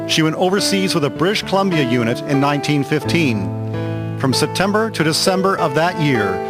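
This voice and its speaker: A man reading scripted historical narration for a radio drama series. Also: on the high side.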